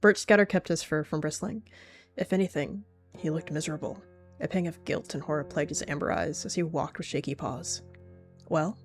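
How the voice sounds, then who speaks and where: A woman calmly narrating a roleplay story in a measured way. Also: low voice, faint music behind.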